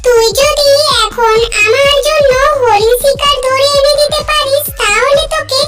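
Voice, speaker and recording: A greedy cartoon crocodile, played by a performer, taunting and boastful. The voice is pitched extremely high for such a bully. Loud music runs behind it. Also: fairly even intonation, a little slow. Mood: gloating. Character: greedy, scheming crocodile who bullies smaller animals. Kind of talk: children's cartoon story dialogue.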